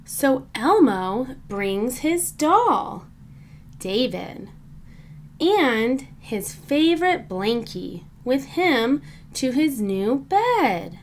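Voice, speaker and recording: A woman reads a picture book aloud for small children. Her voice sits fairly high and swings expressively up and down. She takes the simple sentences very slowly, phrasing each one deliberately. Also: a little hiss or hum. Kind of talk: read-aloud children's storybook narration.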